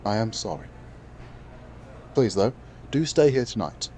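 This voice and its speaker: A courteous but steely female clan leader with paws speaks in a formal, measured way, as a male narrator puts her on. The voice sits a little higher and louder than his usual tone. It is an audiobook fantasy tale. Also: expressive, slight background noise.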